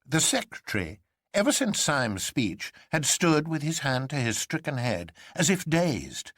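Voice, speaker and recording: A man reads literary fiction as a dramatic narrator. His pitch moves a great deal and his delivery is theatrical. The recording is dry, with no room around the voice. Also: unhurried, no echo. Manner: theatrical, expressive.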